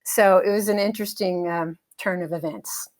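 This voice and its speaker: A middle-aged woman with a slightly low voice talks as an interview guest. She answers in a relaxed, thoughtful way, filling pauses with little hesitations and easy laughs. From United States. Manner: thoughtful, with frequent fillers and light laughs. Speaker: interview guest, helicopter pilot. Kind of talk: casual conversational interview.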